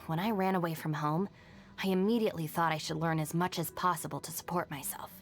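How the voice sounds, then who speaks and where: A woman's voice: deep voice, fairly even intonation, fast. An actress playing a level-headed, self-reliant young woman in a video game, speaking in a composed, dry, matter-of-fact way. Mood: dry, unruffled. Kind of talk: scripted video game character voice lines. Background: background music.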